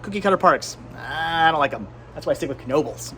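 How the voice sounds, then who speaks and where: A man's voice: on the high side, expressive, a little fast, pushed and bright. A middle-aged American man chatting casually and enthusiastically in a rambling vlog style, often repeating words as he goes. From Pennsylvania, United States. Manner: enthusiastic, nostalgic, stammering repeats. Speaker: host of a thrifting vlog. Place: flea market, among vendor stands. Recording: clear background noise, flea market ambience.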